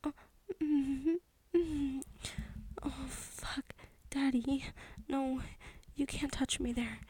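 Young woman, husky hushed whisper, mellow and slow, super dry close sound. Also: high-pitched, steady tone, no echo. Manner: pleading, submissive tone. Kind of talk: intimate adult audio roleplay.

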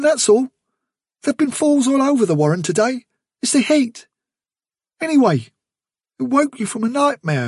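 A man's voice: very high-pitched, breathy edge, no room sound, clean studio sound, pitched above the performer's own voice, full character voice. A man voicing a kind young male rabbit comforting a friend in a storybook reading. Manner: gentle, soothing, quick. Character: kindly young male rabbit, gentle and steady.

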